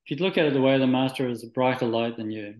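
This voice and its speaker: Male interview guest, from Scotland and New Zealand, medium pitch, thoughtful and halting, recorded in an echoey room. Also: a little mellow. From Scotland, moved to New Zealand at nine. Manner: halting, thoughtful, searching for words. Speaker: interview guest, spiritual practitioner. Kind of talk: reflective, conversational interview answers.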